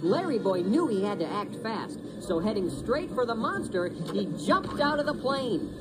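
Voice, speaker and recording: A woman's voice: mid-range voice, sing-song, normal speed, over loud music, rough recording. A woman reading a children's storybook as a dramatic narrator.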